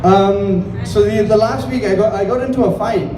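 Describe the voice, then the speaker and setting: High-voiced Singaporean man doing stand-up in echoey room. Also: normal speed. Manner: conversational, swearing, acting out dialogue. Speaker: stand-up comedian. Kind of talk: stand-up comedy set. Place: indoor comedy venue with audience. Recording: echoey, distant live room with crowd noise.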